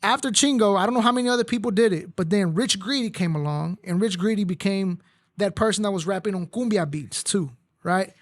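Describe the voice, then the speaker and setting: A man's voice: high-pitched, a little fast, dry recording. A man born in Mexico who grew up speaking Spanish hosts a casual talk show. He speaks bluntly and heatedly, with plenty of profanity. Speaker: podcast host. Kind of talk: casual chat.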